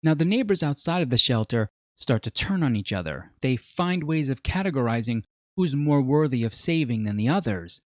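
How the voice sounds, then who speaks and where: Guy doing scripted video essay review, expressive up and down delivery, dramatic storyteller, no room echo. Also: medium pitch, moderate pace, studio quality. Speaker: film and media reviewer.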